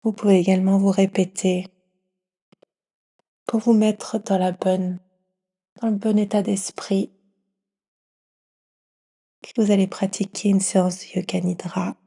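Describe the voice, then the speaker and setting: A woman guides a yoga nidra session in a mellow, husky voice. She keeps her tone very even and soothing, often dropping into a breathy whisper as she teaches. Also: medium pitch, unhurried, pauses often. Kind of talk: guided meditation.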